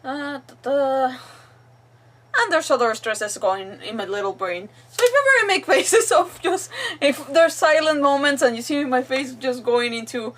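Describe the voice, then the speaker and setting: Bubbly Mexican woman, native Spanish speaker, high voice, casual game-stream chatter, slightly distant mic. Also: expressive, normal speed, hardly any pauses, a bit bright. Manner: bubbly, rambling, giggly. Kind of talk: casual livestream chat while playing. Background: game music.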